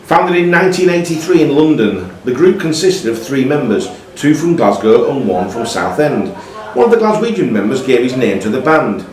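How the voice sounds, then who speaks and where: A man's voice: medium pitch, big pitch swings, normal speed, a little mellow. A man hosting a live pub quiz as quizmaster, reading out questions and ruling on answers. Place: pub room. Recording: echoey pub room, distant mic, music behind.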